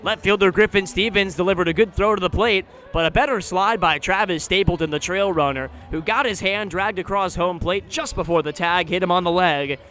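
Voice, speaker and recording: An American man calling baseball play-by-play for radio, describing each moment in detail in a live outdoor broadcast. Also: high voice. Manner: measured description, excited on big plays. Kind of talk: live sports commentary. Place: outdoor ballpark broadcast position.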